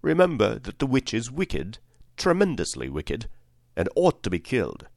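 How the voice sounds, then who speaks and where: A man's voice: medium pitch, huge pitch swings, normal speed, vocal fry, a little mellow, no echo, studio quality, full character voice. A man voicing a stern, imperious wizard's giant talking head with a commanding, emphatic manner in a storybook reading.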